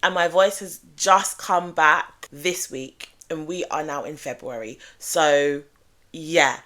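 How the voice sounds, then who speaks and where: A woman hosting a true crime show, talking in a measured, analytical way with small restarts, her voice still slightly groggy after being ill. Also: sits low, a bit bright. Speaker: true crime channel host. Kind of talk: true crime explainer monologue.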